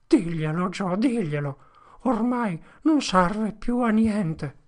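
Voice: sits high, expressive, measured pace, creaky, bright, forceful tone, voice pushed up, heavily acted. An audiobook narrator voicing a frightened accused man who sobs and pleads that he is innocent. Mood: pleading, sobbing. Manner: tearful, pleading, broken. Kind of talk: audiobook character voice.

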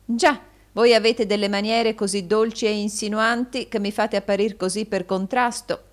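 A woman's voice: mid-range voice, fairly even intonation, moderate pace, pitched above the performer's own voice, close to an everyday voice. Woman as a cold, sardonic man of business. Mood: sarcastic. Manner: dry, blunt, cutting.

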